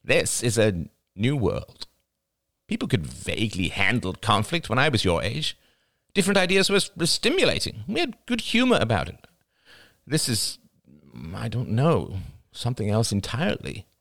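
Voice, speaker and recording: A man's voice: medium pitch, wildly swooping pitch, moderate pace, gravelly, fairly bright tone, voice pushed up, exaggerated voice. A man voicing a blunt, scolding older woman, a veteran of her industry, who snaps at her niece. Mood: weary. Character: brusque older woman, veteran media aunt.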